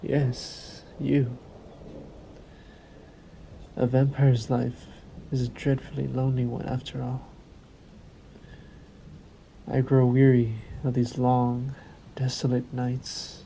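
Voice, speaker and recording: A man performs a suave male vampire in an intimate audio roleplay, with faint stormy noise behind him. His voice is mellow and dark with a creaky edge. He speaks in a theatrical, silky way, menacing and possessive as he toys with his prey. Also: mid-range voice, flat delivery, low-quality recording. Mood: seductive, possessive. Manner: taunting, silky, theatrical, deliberate phrasing. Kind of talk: slow intimate audio roleplay monologue to the listener.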